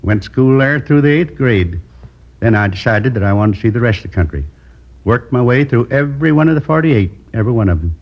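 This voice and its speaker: An actor playing a lonely man in his seventies who confesses to a robbery in a slow, rambling, wistful way, in 1950s radio drama acting. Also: medium pitch, animated. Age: 70s. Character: lonely old male drifter turned robber. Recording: dull old radio broadcast.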